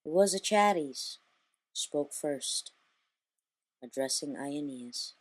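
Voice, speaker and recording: A woman reading an epic poem aloud as a bedtime story, slow and deliberate. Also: low-pitched, expressive. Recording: echoey, long hall reverb.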